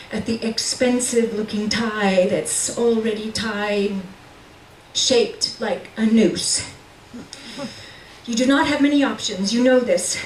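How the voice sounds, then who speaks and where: Woman reading a novel out loud, kinda high voice, bit far from the mic, echoey room. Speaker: reader at a literary reading. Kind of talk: live reading of literary fiction in second person. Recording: roomy, distant mic with faint noise.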